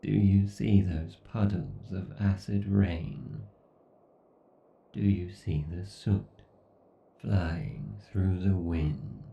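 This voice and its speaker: A deep, gravelly AI god acted out by a man speaks extremely slowly in a horror ASMR roleplay. He is menacing, obsessed and condescending, with theatrical brooding. The sound is roomy and set back from the mic. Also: fairly even intonation, heavily acted. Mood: gloating menace. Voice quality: gravelly, dark, low. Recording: dull, roomy, slightly distant sound.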